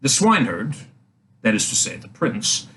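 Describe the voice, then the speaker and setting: A man reading a fairy tale aloud for young children as a storyteller, in an animated style. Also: on the low side, normal speed. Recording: faint background noise.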